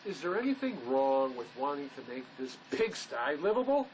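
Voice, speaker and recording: An actor playing a guarded, stubbornly protective fugitive in a drama, with a terse, questioning way of talking. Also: male voice, fairly high pitch, very animated, moderate pace, dull audio, a little hiss or hum. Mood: defensive. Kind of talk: dramatic dialogue reading.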